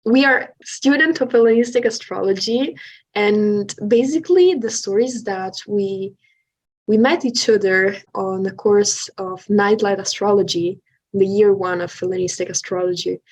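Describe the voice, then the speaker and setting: A woman's voice: medium pitch, normal speed, darkish tone, poor audio. Swiss-woman co-hosting a podcast. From Italian-speaking Switzerland. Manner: earnest, explanatory, with some hesitations. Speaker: podcast co-host. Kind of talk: casual podcast chat.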